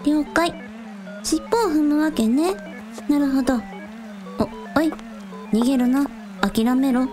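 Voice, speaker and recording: A woman's voice: sits high, takes it slowly, long pauses, over music. A woman playing a casual, blunt young village idol in a radio drama, with breezy, slangy, matter-of-fact delivery. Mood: resolute. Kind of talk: Japanese radio drama acting.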